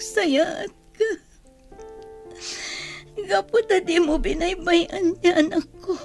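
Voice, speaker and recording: A woman's voice: very high-pitched, gravelly, full character voice. A woman with an Ilocano Filipino accent playing a wronged, sorrowful mother in an emotional radio drama. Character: wronged, sorrowful mother newly freed from prison.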